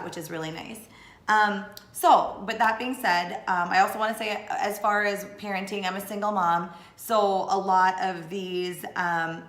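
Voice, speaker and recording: A woman's voice: on the low side, a bit bright. A woman in her thirties, originally from Sri Lanka, chatting casually to camera in a vlog, rambling with lots of fillers. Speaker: blogger and vlogger. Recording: echoey room.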